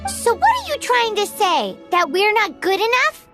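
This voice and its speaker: A tiny childlike sidekick from a fantasy video game, with an extremely high, squeaky voice. The delivery is blunt, questioning and very animated, over background music. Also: female voice, moderate pace, heavily acted. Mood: indignant.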